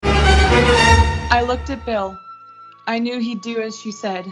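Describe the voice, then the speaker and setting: A woman's voice: on the high side, very animated, slow, music in the background. A young woman acting an earnest, lovestruck, wounded heroine who narrates a 1940s-style radio suspense play. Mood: grim, resigned. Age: young adult. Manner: earnest, dramatic. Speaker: high school theatre student actor.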